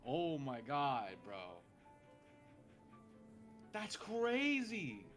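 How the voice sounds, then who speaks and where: A man in casual gaming chat, excitable, amazed and sweary, full of slang. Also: fairly high pitch, extremely slow, soft background music. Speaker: gamer reacting to gameplay.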